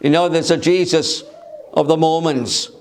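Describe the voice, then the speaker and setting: A man preaching a sermon in a church through a microphone, speaking loudly and emphatically and asking rhetorical questions. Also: on the high side, steady tone, a little slow. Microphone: amplified by a microphone.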